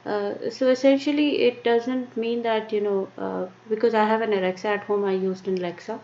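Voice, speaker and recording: A woman with an Indian accent presenting a live-streamed tech lesson, talking haltingly with many fillers and restarts. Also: mid-range voice, fairly even intonation, warm tone. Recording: muffled lo-fi stream with faint noise.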